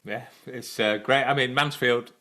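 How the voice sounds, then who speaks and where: A man's voice: medium pitch, fairly even intonation, unhurried, sharp tone. A man hosting a live stream interview, enthusiastic and rambling, with lots of fillers. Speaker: show host.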